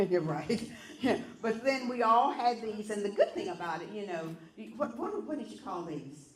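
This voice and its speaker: Loud folksy woman in an echoey hall. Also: mid-range voice, big pitch swings, moderate pace. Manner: chatty and folksy, coaxing the crowd to join in. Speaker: event entertainer leading songs and games. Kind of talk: casual talk to an audience of elders. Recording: echoey room.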